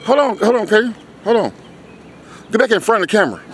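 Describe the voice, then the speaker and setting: A man's voice: high voice, sing-song, fast, slight background noise. A man filming a street interview, teasing and insisting, repeating himself. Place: outdoor parking lot. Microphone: phone held behind the camera.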